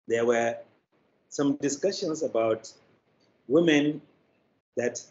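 An older man with a Nigerian accent telling anecdotes in an interview, choosing his phrases in a deliberate, halting way. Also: mid-range voice, darkish tone. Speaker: media producer, interview guest. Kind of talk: interview answer, anecdotal storytelling. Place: indoor room, on a video call. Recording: slightly dull video-call audio.

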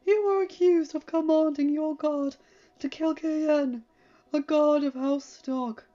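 A woman's voice: high voice, steady tone, takes it slowly, dark tone, pitched above the performer's own voice. A woman voicing a wheezy, formal old man who serves as grand maester, recorded dull and lo-fi over music.

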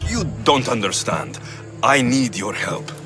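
An actor playing a calm, direct young mercenary hero, with game music behind. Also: male voice, medium pitch, unhurried. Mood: firm.

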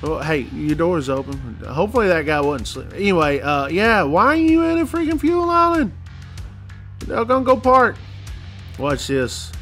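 A man's voice: fairly high pitch, sing-song, normal speed, fairly bright tone, soft background music. A middle-aged man hosting casual commentary over clips, joking and rambling in a folksy way. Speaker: host.

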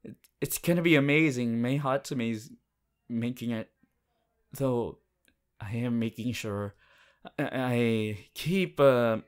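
Romantic roleplay lead: bashful, devoted teen boy hero played by a man, mid-pitched, unhurried, stammering delivery. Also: clean tone, lightly acted. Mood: sheepish, flustered. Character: shy, devoted, flustered teenage boy hero. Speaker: voice actor. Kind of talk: romantic roleplay audio, intimate fan performance.

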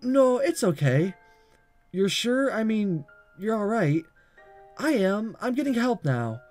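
A man voicing an affectionate, anxious teenage schoolgirl in love from a visual novel, halting and tender. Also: sits high, very animated, darkish tone, faint music behind, voice pushed up, exaggerated voice. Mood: soft, reassuring. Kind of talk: visual novel voice-over read aloud.

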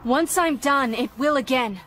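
An actress playing a bold, earnest young alien superheroine in a dramatic video game. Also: female voice, sits high, measured pace, noisy background. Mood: determined.

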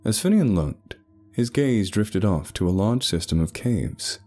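A man's voice: fairly low pitch, expressive, measured pace, warm tone. A man narrating a scripted horror story, with faint music behind him.

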